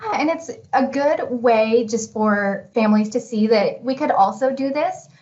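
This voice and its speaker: A woman with a slightly high voice leads an online lesson as an educator. She guides her listeners in an instructional, questioning way. The sound is dull and lo-fi, as from a computer mic on a video call. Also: a little slow, darkish tone. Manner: guiding, asks open-ended questions. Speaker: webinar presenter, educator. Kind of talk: instructional webinar presentation. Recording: dull lo-fi webinar audio.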